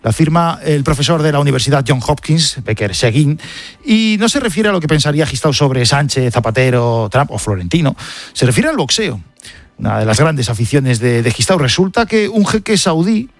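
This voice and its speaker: A man's voice: fairly high pitch, expressive, normal speed, no echo. A man with an accent from Spain presenting a scripted press review on the radio, with conversational asides to a colleague. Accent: Spanish, from Spain.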